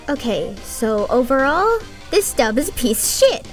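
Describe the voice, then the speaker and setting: High-voiced blunt woman reviewer. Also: very animated, slow pace, faint music behind. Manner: blunt, profane, sarcastic. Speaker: anime reviewer. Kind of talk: casual video review.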